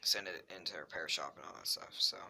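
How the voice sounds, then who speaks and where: A man's voice: very deep voice, fast talker. A man talking casually and explaining things in an informal vlog, recorded on a cheap phone. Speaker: video uploader. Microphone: handheld Android phone. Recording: cheap phone mic, faint noise.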